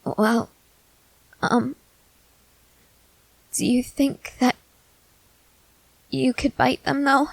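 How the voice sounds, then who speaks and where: A woman playing a timid, gentle newly turned vampire in an ASMR roleplay, speaking hesitantly and haltingly. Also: high voice, steady tone, vocal fry, husky, no room sound, full character voice, poor audio. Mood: hesitant, uneasy. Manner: hesitant, halting, soft-hearted.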